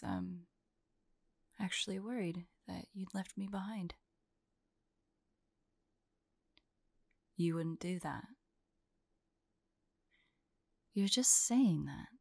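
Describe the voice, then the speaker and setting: Woman acting as a slow, hesitant, forlorn young huntress. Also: fairly low pitch, creaky, no echo, clean studio sound. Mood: wistful, vulnerable. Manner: hesitant, soft-spoken, halting, often drifting into whispers. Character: forlorn young woman hunter, tearful, vulnerable, guarded, affectionate. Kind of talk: intimate audio roleplay drama.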